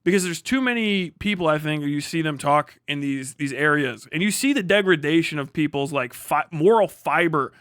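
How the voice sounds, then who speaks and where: A man's voice: a little high, expressive, moderate pace, hardly any pauses, fairly bright tone, clear, resonant tone, dry recording, clean studio sound. A man hosting a livestream in casual chat, rambling along and swearing freely. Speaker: livestream host.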